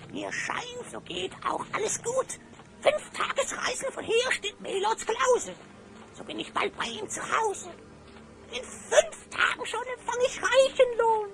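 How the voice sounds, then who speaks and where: A woman playing a sly, servile, greedy hyena spy in an audio drama, whining in shrill, creaky sing-song rhyme. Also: fairly bright tone, heavily acted, low-quality recording. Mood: gleeful, scheming. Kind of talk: German audio drama acting.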